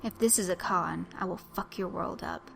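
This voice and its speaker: A woman voicing an angry, grieving man who talks in a gruff, snarling way. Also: on the low side, moderate pace, fairly bright tone, soft background music. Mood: hostile.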